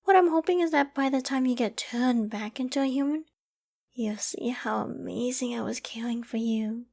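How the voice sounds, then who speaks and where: Woman voicing a yandere girlfriend type, high-pitched, young adult, giddy and possessive, sweetly controlling, intimate ASMR audio drama. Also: moderate pace, a little mellow. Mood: excited, smitten. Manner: affectionate, giddy, possessive, with breathy sighs and giggles.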